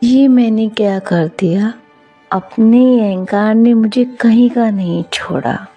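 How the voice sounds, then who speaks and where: Woman playing a high-voiced haughty young princess. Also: slow pace, creaky, mellow, soft background music, full character voice. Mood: remorseful. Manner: self-important, dramatic. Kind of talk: cartoon storybook character voice.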